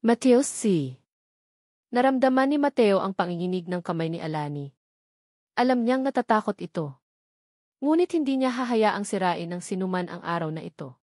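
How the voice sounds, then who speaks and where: A woman's voice: medium pitch, animated, a little fast, a little mellow, no echo, studio quality. A woman reading a scripted, dramatic love story as its narrator.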